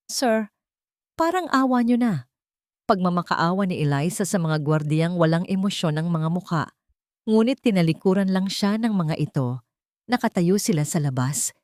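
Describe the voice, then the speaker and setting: Lower-pitched woman narrating drama. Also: a little mellow, no room sound, clean studio sound. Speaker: story narrator. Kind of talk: scripted dramatic audio story narration.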